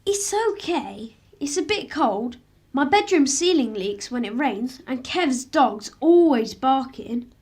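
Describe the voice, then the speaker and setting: A woman performs a young schoolboy in a radio play. The child is inquisitive and plain-spoken, with a very high voice that moves up and down freely. The delivery is childlike and blunt. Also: animated, slow pace, a little mellow, slightly rough, full character voice. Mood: matter-of-fact, curious. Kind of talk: radio drama acting.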